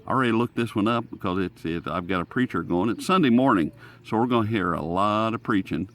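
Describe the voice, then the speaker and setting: A man's voice: on the low side, fast, a bit bright. An American man hosting a casual hobby radio demonstration outdoors, talking in a folksy, rambling way. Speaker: host. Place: outdoors in sunlight.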